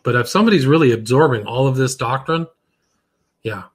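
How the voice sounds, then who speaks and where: A man hosting an interview, tired and relaxed in a casual conversational way. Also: medium pitch, fairly quick, slightly rough. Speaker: podcast host.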